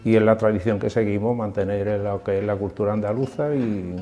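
An older man with a low voice speaks calmly and steadily, seated for a documentary interview in a club hall. A lapel mic picks him up, with faint music beneath. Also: a little fast. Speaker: flamenco club member.

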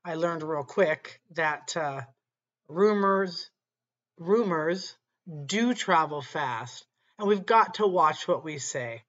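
Slow, slightly raspy middle-aged woman. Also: deep voice, animated, lo-fi sound. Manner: slow, earnest storytelling. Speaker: channel host. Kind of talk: faith-based personal talk to camera. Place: small room at home, indoors.

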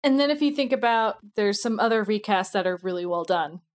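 Mid-pitched woman chatting on a podcast. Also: lively intonation, a little fast. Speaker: podcast co-host. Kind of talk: casual podcast discussion.

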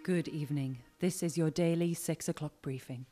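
A young woman playing a formal, measured radio newsreader reading a scripted wartime bulletin in an audio drama. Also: low-pitched, dark tone, soft background music, close to an everyday voice. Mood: formal, grave. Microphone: mic on a stand.

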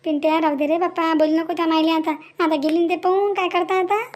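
Very high, plaintive Varhadi village woman acted by a woman. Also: level tone, fast talker, a little mellow. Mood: reproachful, sorrowful. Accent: Varhadi Marathi. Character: worried, plaintive village woman fretting like an aunt. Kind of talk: amateur cartoon family drama dialogue.

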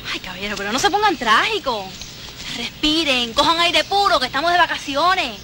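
High-pitched, sassy young Cuban woman. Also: animated, a little fast. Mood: defiant. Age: young adult. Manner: sarcastic, teasing. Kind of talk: naturalistic film dialogue. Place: outdoors in a wooded park. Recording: old film, noisy outdoor birdsong ambience.

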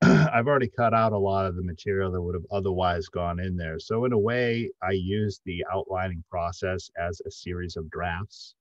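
A middle-aged man on a panel, reflecting as a writer in halting, thoughtful phrases over a video call. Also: sits low, no echo, lo-fi sound. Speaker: panelist, audio drama writer. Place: indoor room at home, video call.

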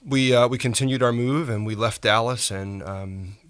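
A pastor in his forties, a man telling his story softly in a reflective interview, pausing often with fillers. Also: medium pitch, clean tone. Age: 40s. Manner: soft-spoken, halting with frequent fillers. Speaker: pastor and podcast guest.

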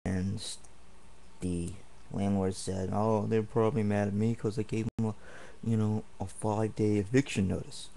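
A man's voice: on the low side, level tone, normal speed, gravelly, dark tone. A man ranting indignantly and at length in a home video, repeating himself as he rambles. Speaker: vlogger. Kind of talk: angry home video rant. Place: home apartment.

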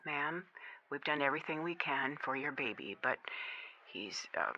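A woman's voice: extremely low pitch, expressive, moderate pace, pushed and bright, highs cut off, slight background noise, full character voice. A woman acting a sober hospital doctor in an audio drama, formal and hesitant as she breaks bad news. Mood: grave.

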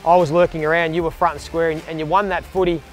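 Quick-talking Australian man hosting. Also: fairly high pitch, level tone, faint music behind, low-quality recording. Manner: chatty, quick. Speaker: host. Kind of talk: casual interview. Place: outdoors in an empty stadium stand.

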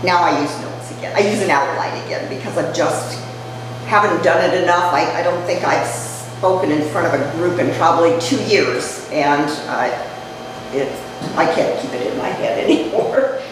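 An older woman giving a chatty public talk to a live audience, her voice dropping low as she speaks. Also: normal speed. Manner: conversational, chatty, jokes with the audience. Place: community event room. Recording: echoey hall, mic far off, noisy.

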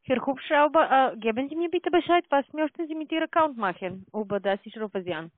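A sales agent speaks with a foreign accent, clearly not a native speaker. The delivery is formal and halting. It comes over a thin, dry telephone connection. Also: high-pitched, animated, no room sound. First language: not German. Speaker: call centre sales agent. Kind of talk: sales phone call. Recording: tinny dry phone line.

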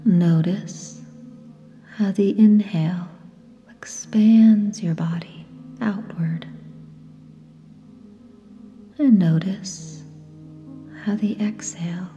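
A woman with a soft, breathy, whispery voice leads a guided meditation in short, gentle phrases with long pauses. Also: medium pitch, steady tone. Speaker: yoga nidra guide. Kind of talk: guided meditation, slow and soothing. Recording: long reverb, soft music behind. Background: sound bath music.

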